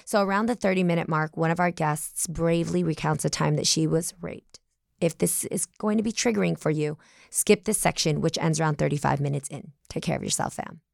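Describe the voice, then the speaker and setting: An excitable, bubbly woman in her forties hosting an interview podcast, laughing easily. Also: low-pitched, fairly quick, creaky, no room sound. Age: 40s. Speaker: podcast host.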